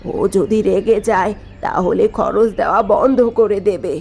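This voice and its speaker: A woman voicing a scheming middle-aged mother-in-law in a cartoon story, talking in a sharp, dismissive way. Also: medium pitch, normal speed, vocal fry, slightly rough, soft background music. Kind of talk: cartoon voice acting.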